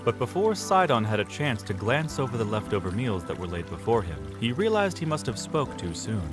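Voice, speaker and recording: A man's voice: mid-range voice, sing-song, a little fast, music in the background. A man narrating an audio drama in a dramatic, scripted storytelling style.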